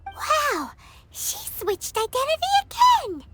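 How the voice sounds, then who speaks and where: A woman voicing a tiny, cheeky, childlike companion creature in a video game, with a squeaky, exclaiming delivery. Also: wildly swooping pitch, slow pace, music in the background, exaggerated voice. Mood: amazed.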